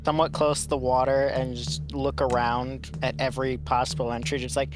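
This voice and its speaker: A woman's voice: rumbling low, flat delivery, fairly quick. A woman chatting casually as a player in a tabletop game, with music in the background. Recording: loud music behind the voice. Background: loud background music.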